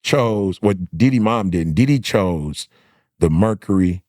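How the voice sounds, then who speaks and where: A man telling a story in an animated, casual way, slangy and emphatic, often punctuating with bruh and bro. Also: fairly low pitch, unhurried, dry recording, studio quality. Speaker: podcast host.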